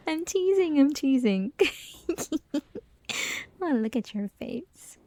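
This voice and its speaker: A woman's voice: sits high, darkish tone, exaggerated voice. A woman playing a flirty, possessive college classmate who teases the listener in an intimate, mostly whispered ASMR roleplay. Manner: teasing, breathy, mostly whispered, giggly.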